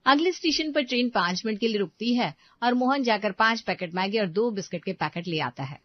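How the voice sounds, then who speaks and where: A woman narrating a moral story in a smooth, scripted storytelling way. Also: mid-range voice, expressive, brisk, no echo. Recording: dull dry voice-over.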